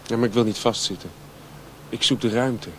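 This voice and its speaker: Radio drama: rundown, restless male wanderer, played by a man, earnest and halting, mid-range voice, slightly noisy old recording. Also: mid-range voice, fairly even intonation, fairly bright tone, lightly acted. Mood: determined. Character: restless, rundown male drifter seeking open country. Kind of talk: Dutch radio drama acting.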